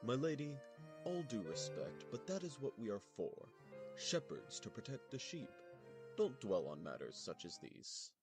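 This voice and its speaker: Male actor as dutiful knight retainer for audio drama; measured, courtly, varied pitch, music underneath. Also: sing-song. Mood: gentle, reassuring. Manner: formal, deferential, measured. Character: stoic, formal, dutiful male knight serving nobles. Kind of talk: fan audio drama acting.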